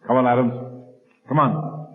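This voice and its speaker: A man's voice: medium pitch, fairly even intonation, normal speed, close to an everyday voice. An actor playing a crude, easily scared male henchman, slangy and nervous, in an old radio crime drama. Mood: gruff, bullying. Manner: tough-talking, slangy, nervous. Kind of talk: 1940s radio acting. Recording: tinny, lo-fi old radio recording.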